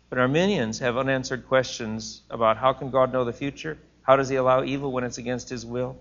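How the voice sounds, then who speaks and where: Male teacher, bright tone, earnest lecture style, muffled recording. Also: mid-range voice, gravelly. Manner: earnest, thinking aloud with restarts. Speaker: teacher leading a class discussion. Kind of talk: classroom lecture with questions.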